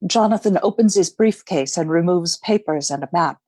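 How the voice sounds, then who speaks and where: A woman reading scripted narration for a radio play in a measured storytelling manner. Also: on the low side, darkish tone, dry recording, clean studio sound, lightly acted.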